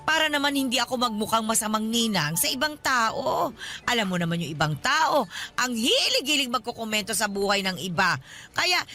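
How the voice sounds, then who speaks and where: An elderly Filipina godmother in a radio drama, high-pitched and piercing. She is nosy and grasping, laying on guilt with wheedling, dramatic reproach. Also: very animated, normal speed, bright, forceful tone. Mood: plaintive, conniving. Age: older.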